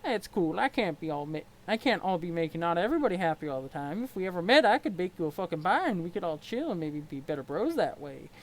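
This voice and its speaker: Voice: mid-range voice, very animated, fast, few pauses. A reader voicing a laid-back, foul-mouthed male troll clown with a lazy drawl. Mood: mellow.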